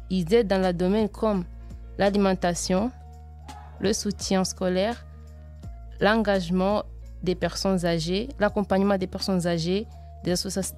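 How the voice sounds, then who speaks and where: A young woman student giving a shy, halting presentation for a school podcast. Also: mid-range voice, darkish tone.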